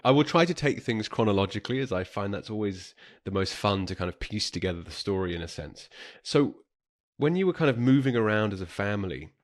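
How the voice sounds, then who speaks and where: A man's voice: fairly low pitch, lively intonation, fairly quick. A man from the south of the UK with a southern English accent, hosting a podcast in a polite, effusive, conversational way. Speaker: podcast host. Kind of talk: conversational interviewing.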